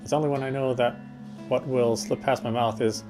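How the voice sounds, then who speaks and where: A man acts out an earnest young man in a visual novel game, speaking quickly at an ordinary male pitch. He is introspective, tender and self-deprecating, and guitar music plays behind his voice. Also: fairly even intonation, close to an everyday voice. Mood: hesitant, resigned. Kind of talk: first-person visual novel narration and dialogue.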